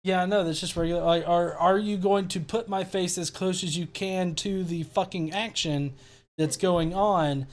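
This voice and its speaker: A man's voice: high voice, steady tone, normal speed. A man hosting a casual commentary stream, talking in a sarcastic, profane, rambling way. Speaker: host.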